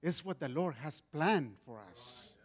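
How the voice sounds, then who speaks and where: Loud, high-voiced Mexican man testifying. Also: expressive, a little slow, husky. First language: Spanish. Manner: loud voice, earnest with many restarts. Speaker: guest speaker giving testimony. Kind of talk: church testimony.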